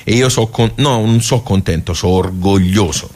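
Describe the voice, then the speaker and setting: A man's voice: lowish voice, moderate pace, a little mellow, lightly acted. A man playing a wry, nostalgic regular of a workers' club in a radio monologue, colloquial and rambling, with a Tuscan accent. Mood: wistful, rueful. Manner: rambling, colloquial, self-interrupting. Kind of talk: radio theatre monologue, conversational.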